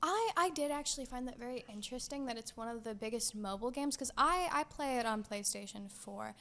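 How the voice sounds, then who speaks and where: A woman's voice: on the high side, expressive, a little fast, bright, forceful tone. A woman co-hosting a gaming show, chatting casually and playfully with wry little asides.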